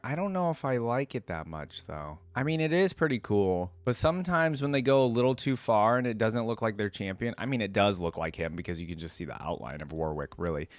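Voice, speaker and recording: A man's voice: on the low side, dry recording. A man hosting a reaction video, giving casual commentary and thinking aloud in a conversational way as he weighs his opinions.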